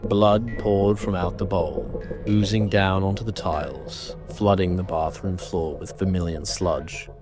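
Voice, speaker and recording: A low-pitched man delivers scripted horror narration. His manner is grave and deliberate, shaping ornate prose dramatically, with faint music underneath. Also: fairly even intonation.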